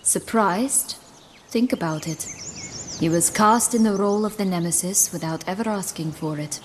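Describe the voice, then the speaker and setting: An actress playing a sly, haughty young duchess in a fairy-tale video game, wry and commanding. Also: female voice, medium pitch, normal speed, slight background noise, lightly acted. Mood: sly, scheming. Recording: music behind the voice.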